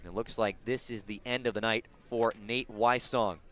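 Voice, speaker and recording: A man calling live baseball play-by-play for radio, describing the action in detail and building excitement on big plays. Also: fairly low pitch, normal speed. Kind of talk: live radio sports commentary.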